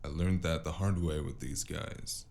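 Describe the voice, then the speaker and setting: A man playing a wry, gravelly-voiced investigator who narrates a noir fantasy audio drama in the first person. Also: very deep voice, measured pace, a little mellow, a little hiss or hum, exaggerated voice. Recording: music behind the voice.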